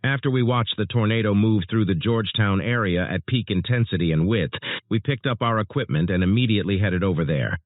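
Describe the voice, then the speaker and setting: A man's voice: on the low side, fairly quick, no room sound, natural voice. A man playing a sober, earnest storm chaser giving scripted interview answers. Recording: muffled narrowband phone-like audio.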